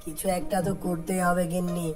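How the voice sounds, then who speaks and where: A woman's voice: lowish voice, flat delivery, fairly quick, over music, natural voice. A woman voicing a simple, timid, gullible poor woodcutter husband in a cartoon folk tale, plaintive and pleading. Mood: dejected, worried.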